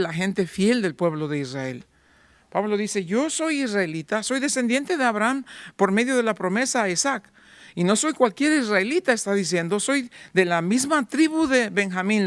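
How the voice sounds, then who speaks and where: Man, pastor, sermon, high voice, dry recording, rhetorical questions. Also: fairly bright tone, no echo. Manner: rhetorical questions, voicing doubting listeners.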